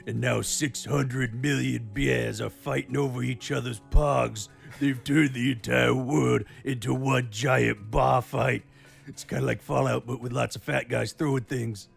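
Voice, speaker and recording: A man voicing a gruff, frantic bear man from a ruined future, stammering through an urgent comic plea. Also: medium pitch, fairly even intonation, measured pace, exaggerated voice. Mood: pleading, rambling. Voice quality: gravelly. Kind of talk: comic in-character recorded plea. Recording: music under the voice.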